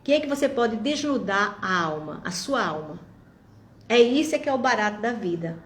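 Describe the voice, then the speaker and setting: A woman's voice: medium pitch, sing-song, normal speed, echoey room. Encouraging woman in her fifties. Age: 50s. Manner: warm, encouraging, reflective, addresses viewers directly. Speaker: vlogger. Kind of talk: motivational monologue to viewers.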